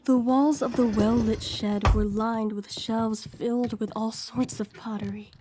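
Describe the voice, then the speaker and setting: A woman's voice: a little high, steady tone, a little slow, noisy background, close to an everyday voice. A woman playing a curious young recruit who narrates her own story in an audio drama. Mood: reflective. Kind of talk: first-person scripted narration, audio drama.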